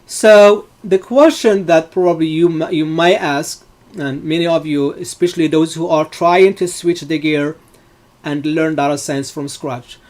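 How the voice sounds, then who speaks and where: A man's voice: a little high, fairly even intonation, measured pace, a little mellow. A middle-aged man from Morocco giving a live online data science lesson, speaking with many fillers and loosely built sentences.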